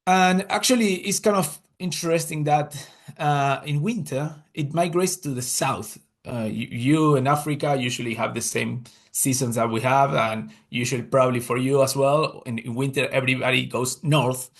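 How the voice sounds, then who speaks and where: A man with an Argentine Spanish accent presents over a video call, his voice gravelly with fry at mid pitch. He speaks English as a second language and stumbles through fillers and repeated starts as he explains his work. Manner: halting, many fillers and restarts. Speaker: guest speaker, conservation scientist. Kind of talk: webinar presentation. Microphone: computer mic on a video call.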